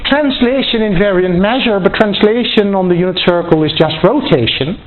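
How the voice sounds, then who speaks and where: A man giving a university lecture, explaining step by step in a deliberate, halting way and often repeating and rephrasing his words. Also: sits high. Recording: tinny phone-like band, noise, clicks.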